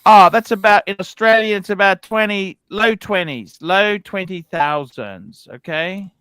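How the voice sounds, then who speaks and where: A man's voice: high voice, measured pace, sharp tone, clean tone, dry recording. A man hosting a casual livestream panel chat, blunt and swearing, who keeps checking with "okay?". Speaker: livestream host.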